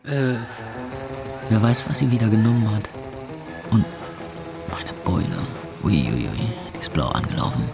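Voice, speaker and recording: A creaky-voiced young man narrates a radio drama as a jaded, frazzled club owner. His delivery is slangy and dramatic and swings widely in pitch, over loud club music and crowd. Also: huge pitch swings, a little slow, pauses often, exaggerated voice. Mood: dazed, muttering. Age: 20s. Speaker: lead actor and narrator of a radio play.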